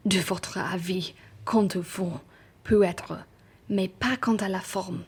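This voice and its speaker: A young woman playing a scheming, diplomatic genteel traveller in a French radio play, measured and insinuating. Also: mid-range voice, steady tone, slightly rough.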